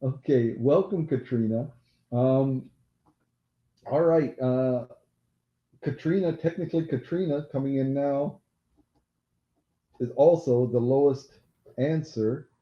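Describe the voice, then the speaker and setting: A man hosting a casual live-stream quiz game who has a loud voice, repeats each question and apologizes during asides. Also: medium pitch, slow pace, long pauses. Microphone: laptop mic. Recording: echoey room, dull lo-fi laptop audio.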